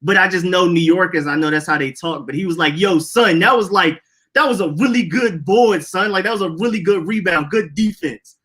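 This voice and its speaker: A man's voice: high-pitched, brisk, sharp tone, husky. A young man with an Atlanta accent, co-hosting a podcast and telling loose, slangy stories in casual chat. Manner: loose, rambling storytelling with slang. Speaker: podcast co-host.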